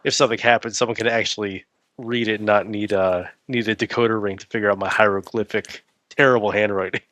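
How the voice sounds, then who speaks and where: A man's voice: on the low side, fairly even intonation, quick pace, a bit bright, no echo. A male writer chatting casually as an interview guest, telling wry, self-mocking anecdotes. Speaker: guest novelist.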